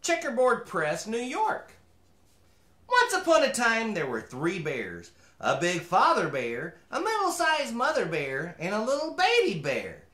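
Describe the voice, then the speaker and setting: A man's voice: high-pitched, wildly swooping pitch, unhurried, sharp tone, roomy sound, exaggerated voice. A man voicing a chatty, opinionated mule who reads a storybook with folksy, disapproving asides. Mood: chatty, eager. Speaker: host reading a storybook. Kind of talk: animated storybook reading with comic commentary.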